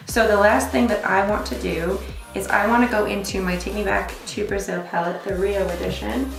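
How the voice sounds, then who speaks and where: A woman's voice: mid-range voice, steady tone, normal speed, vocal fry. A young woman giving a casual, chatty beauty tutorial in an effusive, rambling way, as host. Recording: roomy echo, music behind. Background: music.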